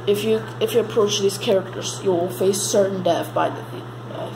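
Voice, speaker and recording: A woman reads a mock emergency broadcast as an amateur announcer, halting and repeating words as she stumbles through the script. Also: medium pitch, vocal fry. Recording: noisy amateur home recording.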